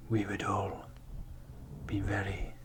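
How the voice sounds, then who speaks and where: A man whispering ASMR-style into a close microphone covered by a fluffy windshield, rambling softly with playful, self-mocking asides while he films himself on a phone. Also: sits low, monotone, takes it slowly, very noisy. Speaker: ASMR creator.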